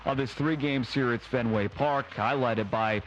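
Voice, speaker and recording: A man in a mid-range voice hosts a radio sports post-game show. He reads scores, recaps and sponsor messages in a broadcast delivery. There is clear ambient noise behind him. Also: mid-range voice, normal speed, fairly bright tone, highs cut off. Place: ballpark broadcast.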